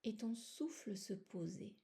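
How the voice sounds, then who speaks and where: A woman guiding a relaxation meditation in a soothing, unhurried manner, with short phrases, long pauses and a soft voice that at times slips toward a whisper. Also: mid-range voice, lively intonation, vocal fry. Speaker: yoga nidra guide.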